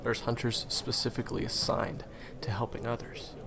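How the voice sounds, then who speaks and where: A man playing a friendly, reassuring guide and former hunter in a fantasy game audio roleplay, talking conversationally to the listener with hesitant pauses. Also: medium pitch, level tone, gravelly, noisy background, natural voice. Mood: reassuring, helpful.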